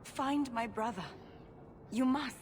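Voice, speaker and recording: A woman's voice: high-pitched, slow, background noise. A woman playing a desperate, pleading woman in a video game, speaking imploringly.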